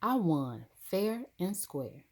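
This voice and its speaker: A woman's voice: lowish voice, very animated, takes it slowly, a little mellow, exaggerated voice. A woman voicing a boastful young girl rival in a children's storybook, with a showy, taunting manner. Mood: challenging. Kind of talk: children's storybook read-aloud.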